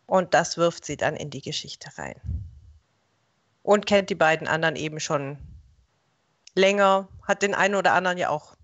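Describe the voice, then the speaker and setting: A woman's voice: fairly low pitch, animated, moderate pace, pauses often, fairly bright tone. A woman talks casually, explaining as she goes. The sound is a little muffled, as on an online call from a room at home. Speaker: stream guest and co-writer. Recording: slightly dull online call audio.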